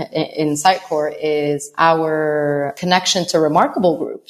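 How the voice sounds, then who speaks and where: A woman from the Middle East, a company CEO, answering interview questions fluently, often restarting and repeating words. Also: sits low. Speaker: podcast guest, company CEO.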